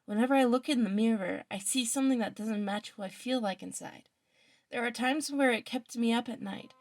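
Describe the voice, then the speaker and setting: A woman's voice: fairly high pitch, brisk, no echo, studio quality, lightly acted. A woman playing a troubled, fearful teenage student in an audio story, with a distressed, emotional delivery. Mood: anguished, hesitant. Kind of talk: dramatic fan fiction audio reading.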